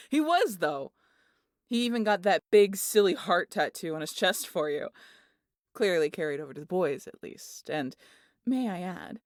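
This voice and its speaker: A woman's voice: low-pitched, dry recording, studio quality. A woman voicing a brash, teasing family friend who tells stories in a salty way in an audio roleplay. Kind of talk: audio roleplay acting, animated storytelling.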